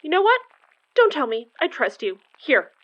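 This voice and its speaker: A sarcastic young sidekick in a horror audio drama, with a high, bright voice. She talks casually and expressively, on a slightly dulled recording. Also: sing-song, normal speed, dull audio. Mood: doubtful, trusting. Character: sassy, sarcastic young woman, loyal sidekick. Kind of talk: audio fiction drama acting.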